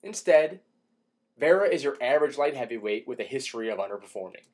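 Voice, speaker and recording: A man giving a dramatic, emphatic reading of an opinion column, shifting between hushed lines and punchy rhetorical questions. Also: medium pitch, expressive, fast talker. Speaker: radio show host reading an opinion column.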